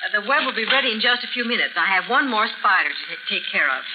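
A woman's voice: mid-range voice, quick pace, close to an everyday voice. An actress playing a polite, matter-of-fact woman business owner in a 1940s radio drama, explaining things plainly. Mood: polite, businesslike. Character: businesslike woman who owns a spider web business. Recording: muffled, noisy 1940s radio recording.

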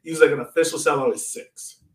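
Male soccer podcast host, mid-pitched, informal and slangy fan chat, very roomy sound, a little off mic. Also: fairly even intonation, quick pace. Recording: roomy echo, distant from mic.

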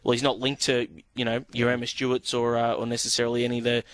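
A man's voice: mid-range voice, fast. An Australian man co-hosting a harness racing podcast, chatting casually with lots of hesitations and fillers. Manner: hesitant, filler-heavy, even-toned.

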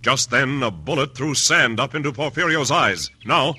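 A man's voice: medium pitch, lively intonation, normal speed, husky. An American man narrating a radio western in a dramatic, scripted old-time announcer style. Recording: old radio broadcast recording. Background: loud music.

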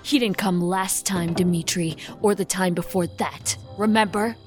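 A woman's voice: lowish voice, fairly even intonation, normal speed, close to an everyday voice. A woman playing a reckless, impatient, sarcastic member of a fringe group in an audio drama. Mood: impatient, doubting. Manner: sarcastic, abrasive. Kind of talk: science fiction audio drama acting. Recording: music under the voice.

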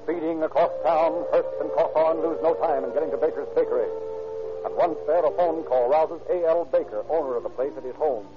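Measured old-time radio narrator. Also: male voice, on the high side. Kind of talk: scripted 1940s radio narration. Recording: dull lo-fi old radio with music.

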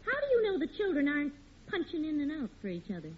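Sceptical wife, long-suffering, wry, swooping pitch, vintage sitcom acting, muffled noisy radio sound. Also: female voice, huge pitch swings, quick pace. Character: long-suffering, gently sceptical working-class housewife. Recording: dull, noisy old radio recording.

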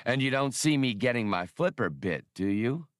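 A man's voice: medium pitch, animated, normal speed, dry recording, studio quality, natural voice. A man playing a cocky, wary rogue in a space-adventure audiobook, with a smug, casual, slangy manner.

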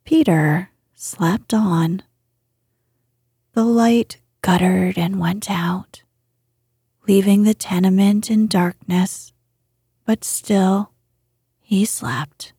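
Breathy woman softly reading a bedtime story. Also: medium pitch, steady tone, extremely slow, long pauses, dry recording, studio quality. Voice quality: breathy, hushed. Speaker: podcast host and narrator.

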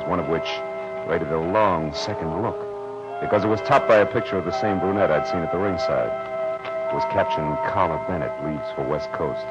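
A cool American private detective from old radio drama. The voice sits low and the talk is laconic and wry. The recording is muffled and old, with prominent music. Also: male voice. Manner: laconic, wry, matter-of-fact. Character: hard-boiled male private eye, cool and unhurried. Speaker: narrator and lead detective.

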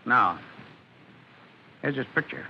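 A man acting a gruff, fatherly American fire captain in an old-time radio drama, on a noisy vintage recording. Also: mid-range voice, lively intonation, slow, dull audio. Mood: kindly, measured.

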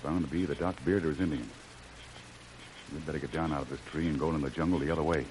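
A man's voice: very deep voice, fast. A man acting a brave, loyal jungle adventurer with a firm, decisive manner, in old radio serial style. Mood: urgent, alert. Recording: noisy vintage radio recording.

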